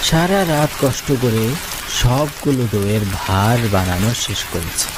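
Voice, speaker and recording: A man's voice: medium pitch, animated, measured pace, lightly acted. A man playing an earnest, kindly village yogurt seller who narrates his own cartoon story in a suspenseful storytelling manner. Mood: earnest, expectant. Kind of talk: first-person cartoon story narration with dialogue. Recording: loud rain and thunder noise.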